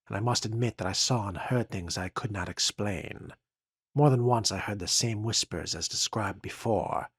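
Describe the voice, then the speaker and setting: Man playing husky, formal 1970s city official. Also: fairly low pitch, no room sound, clean studio sound. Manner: formal, measured, official log-reading. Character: formal, skeptical 1970s city sewer official dictating log. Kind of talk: dramatic reading of a written log.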